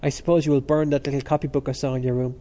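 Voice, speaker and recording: A man voicing a calm, ironic young male student with a wry way of speaking. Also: mid-range voice, rapid-fire, natural voice.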